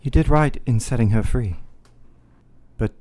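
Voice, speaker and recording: An actor playing a tormented, devoted young Victorian husband in a radio drama, grave, restrained and formal. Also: male voice, medium pitch, measured pace, mellow, no room sound, lightly acted. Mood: tormented, resolute.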